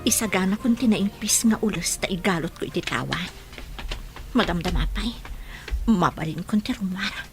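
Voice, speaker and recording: An actress in a radio drama playing a captive woman sneaking out through a window, muttering in a hushed, strained way. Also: on the low side, moderate pace, faint music behind, natural voice. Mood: tense.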